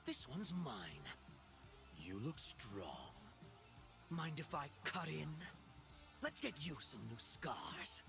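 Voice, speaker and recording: A man's voice: mid-range voice, big pitch swings, slow pace, vocal fry, pauses often, heavily acted. A man voicing a cocky, power-hungry young villain in a video game, smug, dramatic and teasing. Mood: smug, cool. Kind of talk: video game character voice lines. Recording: game music behind the voice.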